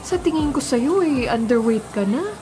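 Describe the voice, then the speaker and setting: A Filipino woman acting in a radio drama as a caring, expressive middle-aged friend with a solicitous manner. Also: high-pitched, moderate pace. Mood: worried. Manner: solicitous, animated.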